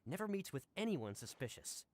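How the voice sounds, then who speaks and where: A frank, animated young-sounding helper. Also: male voice, a little high, brisk. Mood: frustrated, frank. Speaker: video game character. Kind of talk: scripted game dialogue acting.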